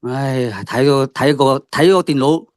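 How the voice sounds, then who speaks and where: A man's voice: medium pitch, steady tone, unhurried, dry recording, clean studio sound, natural voice. A man acting a trusting, reactive company boss in a radio drama. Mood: worried. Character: trusting company boss, agreeable, easily alarmed. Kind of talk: amateur Cantonese radio drama dialogue.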